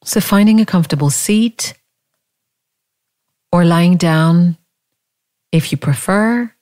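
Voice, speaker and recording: A woman leading a guided body scan meditation in a calm, gentle, unhurried manner, leaving long pauses. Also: lowish voice.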